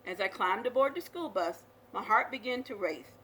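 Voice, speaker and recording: Lady doing a kids story read aloud, super expressive, bright voice, bit of background noise. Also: mid-range voice, normal speed, rough recording. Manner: animated, dramatic storytelling delivery. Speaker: storyteller reading aloud to young children. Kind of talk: expressive children's picture book read-aloud.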